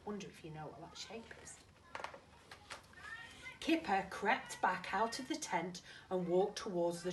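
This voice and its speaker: A woman's voice: lowish voice, far from the mic, a little hiss or hum. A woman reading a children's story expressively, dramatic and addressing young listeners directly. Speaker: primary school teacher reading to children.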